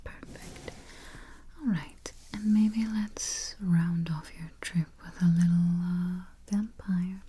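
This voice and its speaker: A woman doing a soft, breathy, mostly whispered ASMR roleplay as a politely morbid vampire travel agent, murmuring with dry understatement close to the microphone. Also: fairly low pitch, steady tone, takes it slowly. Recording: faint hiss, close keyboard typing and clicks. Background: typing, clicking and gentle tapping.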